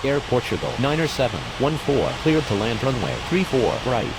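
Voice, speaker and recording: A woman's voice: extremely low pitch, animated, moderate pace. A woman voicing a formal airport radio operator in an aviation game, with clipped, scripted call-outs. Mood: formal, neutral. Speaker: air traffic radio voices in a game. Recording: very noisy, whirring engine-like background.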